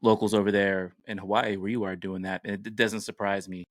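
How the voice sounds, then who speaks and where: A middle-aged man with an American accent talks casually as a podcast host. His voice sits fairly low, and the sound is dry, with no room echo. The delivery is conversational and informal. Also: flat delivery, fairly quick. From United States.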